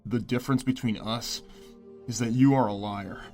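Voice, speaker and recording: A man playing a wounded young male dragon prince in an audio drama, speaking in pain, halting, fearful and accusing. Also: mid-range voice, steady tone, darkish tone, faint music behind, natural voice. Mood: pained accusation. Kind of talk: fan audio drama acting.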